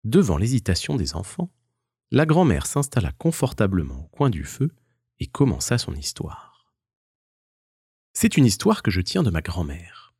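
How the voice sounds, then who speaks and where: A man narrating a scripted children's story as an expressive storyteller. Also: fairly low pitch, moderate pace, long pauses, husky, no room sound, studio quality.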